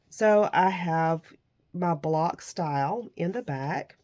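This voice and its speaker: A woman vlogger with a somewhat low voice chats about her crafts. A lingering cold leaves her nasal and slightly gruff. She gushes over the pieces she shows. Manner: warm, gushing about handmade crafts. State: nasal and a little rough from a cold. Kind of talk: casual show-and-tell crafting chat.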